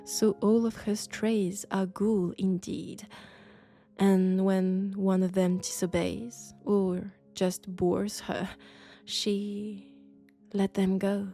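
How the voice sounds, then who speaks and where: A woman with a French accent playing an elegant, condescending vampire scholar in an intimate roleplay audio drama, speaking deliberately and seductively. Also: medium pitch. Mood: calm, explaining. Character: elegant, sophisticated, coolly curious female vampire scholar. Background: faint dark ambient music.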